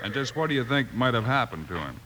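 An actor playing a cool, resolute frontier marshal with an American accent, terse and commanding, in a 1950s radio western. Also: male voice, medium pitch, big pitch swings, fast, a bit bright. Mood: stern, challenging. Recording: noisy old-time radio recording.

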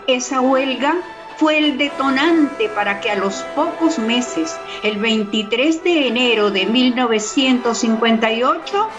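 A woman narrating a scripted radio drama. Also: a little high. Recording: muffled sound with loud music. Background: loud music.

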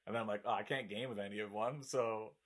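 A young man in his mid-twenties with a lowish voice, talking casually among friends. He jokes around and laughs a lot. He tells his stories with lively self-mockery. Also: fast. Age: 20s. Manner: joking, laughs often, acts out quotes. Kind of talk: casual chat.

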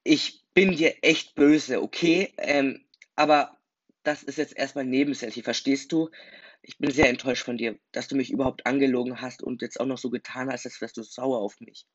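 A man voicing an enraged young man who has just become a vampire, shouting accusations in a husky, gravelly voice. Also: lowish voice, level tone, fairly quick, no room sound. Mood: furious. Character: furious young man newly turned vampire. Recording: dull dry recording, faint music.

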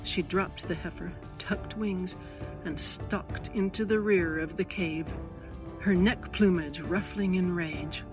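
Slow, dramatic female storyteller over music. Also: fairly low pitch. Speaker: author reading a short story. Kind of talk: dramatic scripted story narration. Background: music under the voice.

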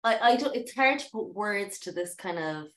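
A woman's voice: mid-range voice, expressive, normal speed, fairly bright tone. A woman co-hosting a podcast, talking effusively and searching for words. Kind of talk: casual podcast chat. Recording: echoey room.

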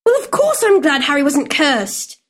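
A woman voicing a sharp, disapproving know-it-all schoolgirl, reproachful in tone. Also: extremely high pitch, lively intonation, fairly quick.

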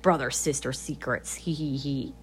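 Woman vlogging, kinda raspy from allergies, blunt and swears a lot, rambles on. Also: a bass voice, fairly even intonation, normal speed. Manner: chatty, blunt, swears freely. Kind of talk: casual rambling vlog chat.

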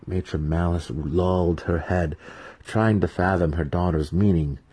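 A man giving a dramatic scripted narration of a fantasy audiobook in a husky voice. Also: low voice, fairly even intonation, measured pace, mellow.

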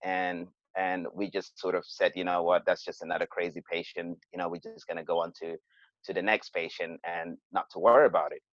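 Deep-voiced man, dry lo-fi sound. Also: flat delivery, a little fast, fairly bright tone, dry recording. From Cameroon. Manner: hesitant, many fillers and restarts. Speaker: podcast guest. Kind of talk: conversational interview storytelling. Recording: dull, lo-fi sound.

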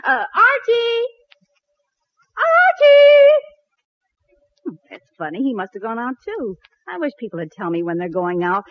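Middle-aged housewife mom, fussy yet kindly, high animated voice, old-time radio comedy. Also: female voice, a little slow, long pauses, no echo, full character voice. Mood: puzzled, annoyed. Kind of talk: 1940s radio sitcom acting. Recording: dull 1940s radio broadcast.

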